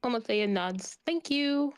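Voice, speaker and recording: A woman's voice: on the high side, measured pace, clean tone, no room sound, studio quality. A woman playing a tabletop game, casually speaking for her character and describing her actions. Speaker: player.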